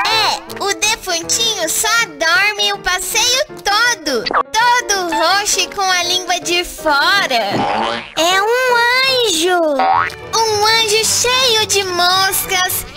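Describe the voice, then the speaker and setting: An easygoing, simple-minded little boy creature in a kids' cartoon. The voice is childish and very high, and it takes its time over words. Loud children's music runs underneath. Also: slow pace. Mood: dreamy, chatty.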